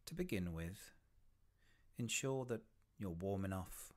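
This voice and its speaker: A man leading a guided body scan meditation in a gentle, unhurried way, with long pauses between short phrases and soft, breathy phrase endings. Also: low voice, lively intonation.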